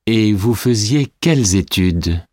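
An actor voicing a male interviewer asking a singer questions, speaking slowly and deliberately in a scripted exam dialogue. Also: on the low side, expressive, darkish tone, no room sound, studio quality. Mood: polite.

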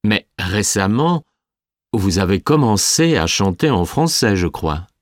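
An actor voicing a male interviewer asking a singer questions, speaking slowly and deliberately in a scripted exam dialogue. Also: on the low side, big pitch swings, no echo, studio quality. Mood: polite.

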